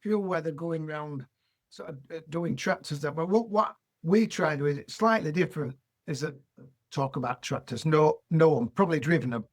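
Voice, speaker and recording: A man's voice: a little high, moderate pace. A middle-aged man with a Yorkshire accent, a farmer guest chatting in a down-to-earth, rambling way. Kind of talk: casual chat.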